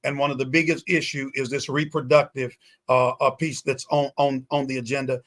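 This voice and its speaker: A man's voice: mid-range voice, flat delivery, brisk, fairly bright tone. A man speaking as a guest pastor in a talk-show discussion, emphatic and repetitive with a preaching cadence.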